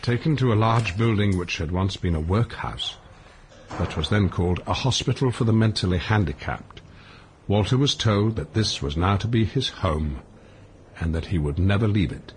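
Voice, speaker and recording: A man as the narrator of a radio play, telling a bleak life story in a deliberate, matter-of-fact, sober way. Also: fairly low pitch, a little hiss or hum, lightly acted. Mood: sober, measured. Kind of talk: scripted radio drama narration.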